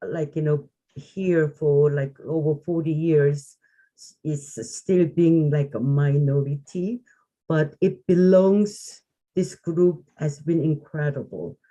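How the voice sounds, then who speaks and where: A woman with a Korean accent, English as her second language, reflecting to a group on a call, halting and searching for words with frequent restarts. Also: sits low, fairly even intonation, warm tone, highs cut off.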